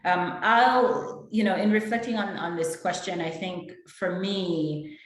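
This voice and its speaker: A woman's voice: on the low side, measured pace. A woman from Jamaica, speaking on an admissions panel, gives an earnest, thoughtful answer. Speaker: EMBA student panelist. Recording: very echoey room.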